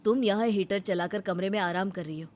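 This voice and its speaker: Woman acting firm young husband, lowered voice. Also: fairly quick, darkish tone, slight background noise, natural voice. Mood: reproachful anger. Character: aggrieved poor young husband, plain-spoken and reproachful. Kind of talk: dramatised family story acting.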